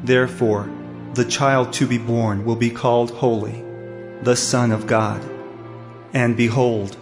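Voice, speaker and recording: An actor playing a commanding, solemn angel messenger in a dramatized audio Bible, proclaiming in a measured, declamatory way. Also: male voice, mid-range voice, level tone, music in the background, close to an everyday voice. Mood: solemn, reassuring.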